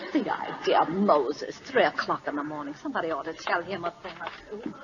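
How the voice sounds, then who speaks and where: An actress playing a principled, outspoken governess at a royal court in a 1940s-style radio drama, speaking articulately, polite but firm. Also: female voice, on the low side, brisk, natural voice. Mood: weary, exasperated. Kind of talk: 1940s American radio acting. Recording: muffled old-time radio recording.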